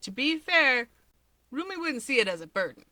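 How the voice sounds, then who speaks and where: Playful young winged hero for an audiobook , acted by a woman: lifted pitch, bright, breezy and emphatic. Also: sing-song, normal speed, no echo, full character voice. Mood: earnest. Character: teasing, excitable young male winged hero.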